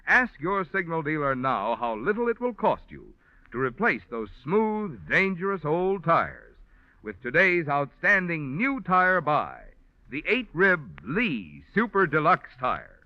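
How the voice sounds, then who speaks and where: Man, American accent, vintage radio announcer, emphatic sales pitch, highish animated voice, dry muffled old recording. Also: measured pace, dry recording. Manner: polished, emphatic sales delivery. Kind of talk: 1940s radio advertising announcement.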